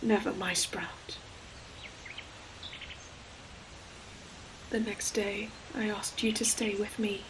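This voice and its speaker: Slow, solemn ancient forest demon played by a woman. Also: medium pitch, fairly even intonation, background noise, natural voice. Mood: sorrowful, brooding. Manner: solemn, poetic, deliberate phrasing. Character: ancient female forest demon, tender, sorrowful, protective old friend. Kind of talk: scripted audio roleplay monologue, slow dramatic storytelling. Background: ambient environmental sound effects.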